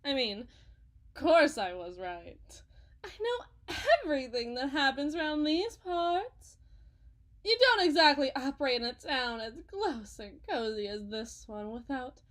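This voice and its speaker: Lady doing a folksy con artist faith healer, real high voice, slow showy salesy patter, full of herself, audio roleplay. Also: sing-song, heavily acted. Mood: grandly welcoming. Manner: theatrical, smooth-talking salesperson patter with dramatic pauses. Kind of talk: scripted ASMR roleplay monologue.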